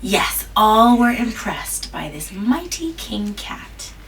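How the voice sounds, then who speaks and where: Slow-reading mother at a distance. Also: big pitch swings. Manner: gentle, questioning the child, jumps to high squeaky pitch on small words. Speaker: mother reading aloud to her child. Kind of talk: children's picture-book read-aloud. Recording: distant mic with faint room noise.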